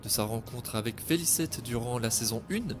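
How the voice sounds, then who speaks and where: A man narrating his own podcast story in a scripted, dramatic, expressive way. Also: medium pitch, normal speed. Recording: music playing behind.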